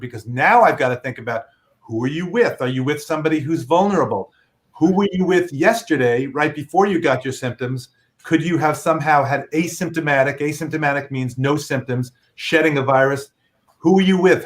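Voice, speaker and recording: A middle-aged man, a physician guest, explaining earnestly and patiently with examples on a video call. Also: a little high.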